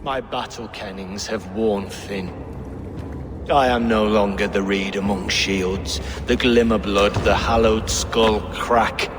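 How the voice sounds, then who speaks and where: A man playing a weary Viking warrior in a game, speaking in a measured, poetic way. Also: on the low side, fairly even intonation, big-room sound, noisy background. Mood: weary, reflective. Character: weary, poetic Viking warrior wanting his own destiny. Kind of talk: historical fantasy game acting.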